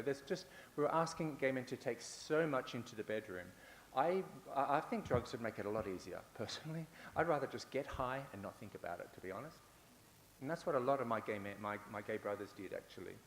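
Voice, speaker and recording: Man speaking in an echoey hall. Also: medium pitch. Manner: repetitive, rhetorical, with fillers and restarts. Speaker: conference speaker. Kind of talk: conference talk. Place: large conference hall. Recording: echoey hall with faint hiss.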